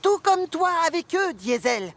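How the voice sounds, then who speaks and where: A woman's voice: very high voice, big pitch swings, unhurried, vocal fry, a little hiss or hum, heavily acted. A woman voicing a cheerful, kind-hearted young male tank engine, earnest and exclamatory, in a children's cartoon. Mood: teasing, earnest.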